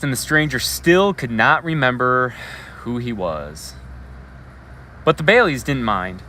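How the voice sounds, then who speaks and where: A man reads a storybook aloud with expression, pausing for asides and questions to his listeners, recorded outdoors on a live feed. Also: mid-range voice, bright, forceful tone, slight background noise. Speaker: elementary school educator reading a bedtime story. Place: outdoors on a school roof at night.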